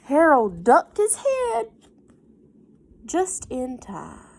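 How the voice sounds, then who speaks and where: A woman's voice: sits high, wildly swooping pitch, extremely slow, creaky, a little mellow. A woman reading a picture book aloud to young children like a teacher, breaking off for casual asides, simple explanations and questions.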